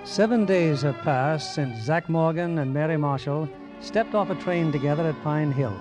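Higher-voiced male old-time radio narrator. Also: moderate pace. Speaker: radio drama announcer and narrator. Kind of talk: 1940s radio scripted narration. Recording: old radio recording with music bed.

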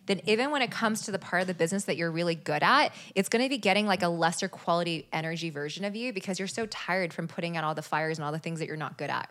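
A woman's voice: on the low side, a bit bright. A woman guest on a podcast, talking earnestly and fluently, peppering her speech with "like" and "right?". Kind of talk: casual podcast chat.

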